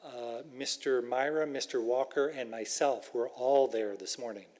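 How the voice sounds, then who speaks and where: A man speaks for a school at a community workshop and answers residents' questions with careful, hedged replies. His voice sits at an ordinary pitch. A long reverb from the meeting hall trails behind each phrase. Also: steady tone, unhurried, darkish tone. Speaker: school representative.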